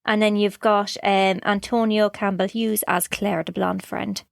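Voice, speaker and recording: A woman's voice: mid-range voice, level tone, unhurried, creaky, no echo, studio quality. An Irish woman co-hosting a podcast in casual film chat, teasing and matter-of-fact. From Ireland. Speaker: podcast co-host.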